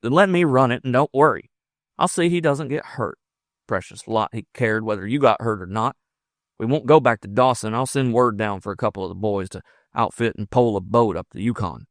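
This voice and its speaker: Man acting out a forceful gold-rush miner in love. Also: mid-range voice, brisk, no room sound, clean studio sound, close to an everyday voice. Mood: reassuring, determined. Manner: forceful, emphatic, coaxing. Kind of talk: audiobook character dialogue.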